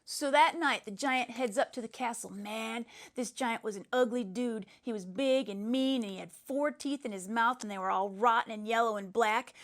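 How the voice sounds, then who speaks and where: A woman's voice: on the high side, moderate pace, few pauses, close to an everyday voice. A woman doing a brash, scornful schoolboy. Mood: boastful, excited. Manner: blunt, slangy, boastful. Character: brash, scornful schoolboy telling a macho action tale.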